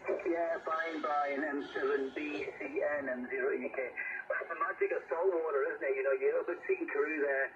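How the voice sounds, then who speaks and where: A man's voice: a little high, steady tone, a little fast. A man chatting informally and matily as an amateur radio operator, heard over a radio link. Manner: informal, matey, encouraging. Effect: radio transmission. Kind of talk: casual ham radio chat. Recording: muffled, noisy shortwave radio link.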